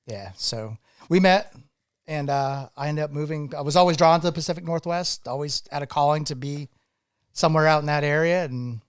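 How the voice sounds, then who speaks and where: A man from Maine, a guest on a podcast, telling stories about his life in a relaxed, thoughtful way. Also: a little high, a little fast, smooth, dry recording, clean studio sound. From Maine, USA. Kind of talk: casual interview chat.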